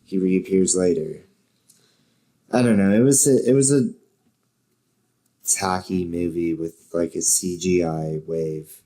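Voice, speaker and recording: Mellow-voiced man chatting casually. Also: low voice, slow, pauses often. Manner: rambling, joking, swears freely. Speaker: podcast host. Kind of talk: casual chat. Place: bedroom.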